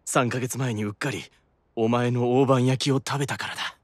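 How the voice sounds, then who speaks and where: A man's voice: mid-range voice, steady tone, normal speed, no echo, clean studio sound, close to an everyday voice. A man playing a proud, earnest, worn-out demon brother with a stiff, dramatic delivery in a Japanese anime-style audio drama. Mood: apologetic.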